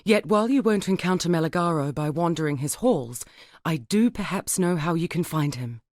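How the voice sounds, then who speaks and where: An actress plays a solemn, learned woman who has survived dark times in a fantasy game. Her voice sits a little low and is recorded very dry. She speaks formally and with care, with a wistful, grieving weight in dramatic scripted dialogue. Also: lively intonation, normal speed, dry recording, close to an everyday voice. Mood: grave, foreboding. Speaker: non-player character in a video game.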